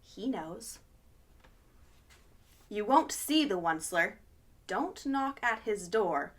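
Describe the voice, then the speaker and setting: A woman's voice: mid-range voice, expressive, takes it slowly. A woman hosting a children's storytime, reading a picture book aloud expressively with gasps of wonder.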